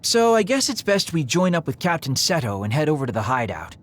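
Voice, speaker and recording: Joking young anime game soldier, breezy, highish voice, very swoopy intonation. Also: male voice, very animated, brisk, slight background noise. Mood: concerned. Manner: playful, breezy. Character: flippant, teasing young male soldier, easygoing joker. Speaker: voice actor in a video game. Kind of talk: dubbed anime-style game acting.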